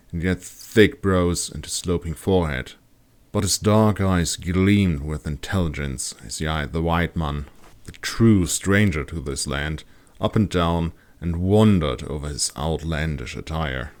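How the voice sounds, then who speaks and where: Man, low-pitched voice, scripted fantasy audiobook narration. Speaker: author reading own audiobook.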